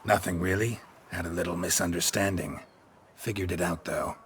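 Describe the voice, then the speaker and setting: An actor playing a gruff, laconic monster hunter in a video game, with a gravelly, hushed voice and deadpan, clipped delivery. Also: male voice, low voice, normal speed, slight background noise. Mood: dry, unruffled. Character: gruff, laconic male monster-hunting mercenary, world-weary and unflappable. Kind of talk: video game cutscene acting.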